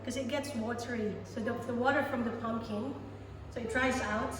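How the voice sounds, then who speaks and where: A woman's voice: on the high side, steady tone, normal speed. A young Filipino woman chef demonstrating a recipe, talking with many ums and restarts. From Philippines. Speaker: guest chef. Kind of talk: cooking demonstration. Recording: echoey, far from the mic, music behind.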